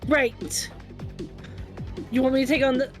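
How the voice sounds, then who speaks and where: High-voiced animated woman player. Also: music in the background. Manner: animated, reactive, quick exclamations. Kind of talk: casual play at the table.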